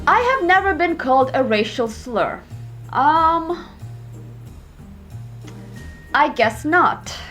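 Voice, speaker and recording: A woman's voice: high voice, animated, takes it slowly, pauses often, sharp tone, faint music behind. A young woman vlogging as she rants sarcastically and profanely through online quizzes. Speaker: YouTuber. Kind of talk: reacting to online quizzes to camera.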